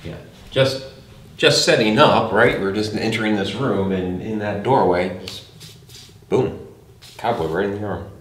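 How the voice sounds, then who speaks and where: A low-pitched man hosts a paranormal investigation. He narrates casually and addresses unseen presences. His voice comes from a distance in a heavily reverberant room, with faint noise underneath. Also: a little slow, pauses often. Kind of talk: casual narration and calling out to spirits during a live investigation. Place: indoors in an old house.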